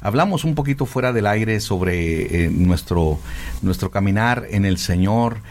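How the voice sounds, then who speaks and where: A man's voice: lowish voice, lively intonation, measured pace, darkish tone. A man speaking as an interviewer in a conversational interview about faith. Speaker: interviewer, host.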